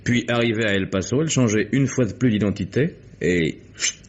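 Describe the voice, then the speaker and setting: A world-weary male private detective with a low voice. He is sardonic and deliberate, laying things out with dramatic pauses. The sound is that of a muffled vintage radio mystery. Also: husky. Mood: sardonic, explanatory. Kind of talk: 1950s French radio mystery acting.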